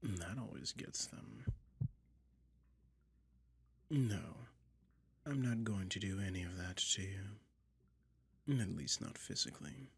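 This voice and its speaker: A man voicing a sly, soothing dragon who toys with a listener in a soft-spoken ASMR roleplay, breathy and whispery with a slow, coaxing delivery. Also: sits low, animated, a little hiss or hum, exaggerated voice. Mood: sly, boastful. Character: sly, soothing male dragon lurking in a cave, toying hypnotist.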